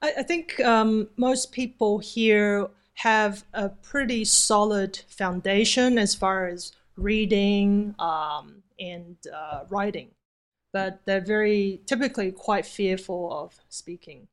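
A woman's voice: medium pitch, takes it slowly, clean tone. A woman in her thirties from Taiwan with a slight New Zealand accent, answering interview questions thoughtfully. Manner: thoughtful, uses fillers. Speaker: interview guest, Airbnb host.

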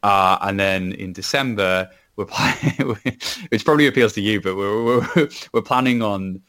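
Welsh guy chatting away, lowish voice, keeps restarting his sentences. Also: unhurried, a bit bright. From Wales. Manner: rambling, many restarts and laughs. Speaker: podcast co-host, guest. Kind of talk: casual chat.

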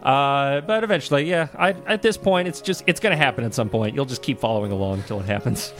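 A man co-hosting a podcast with casual comedic banter, halting and self-deprecating in his delivery. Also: medium pitch, fairly bright tone, soft background music. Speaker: podcast co-host.

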